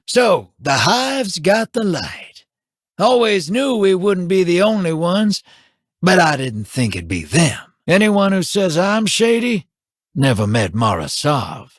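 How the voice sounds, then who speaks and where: An actor voicing a wily, scruffy male gambler in a video game, drawling folksy wisecracks in a husky voice. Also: a little high, big pitch swings, slow, dry recording, clean studio sound, full character voice. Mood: sly.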